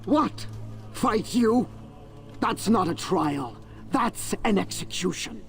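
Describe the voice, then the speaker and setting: A man's voice: sits high, expressive, takes it slowly, soft background music, heavily acted. A man playing a contemptuous, incredulous lord in a video game. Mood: scornful, incredulous. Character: scornful male lord facing a challenger.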